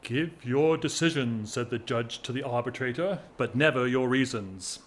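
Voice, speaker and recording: An actor playing a whimsical, lovesick English gentleman of forty-one in a period audio drama, wry, measured and self-mocking. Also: mid-range voice, slight background noise, close to an everyday voice.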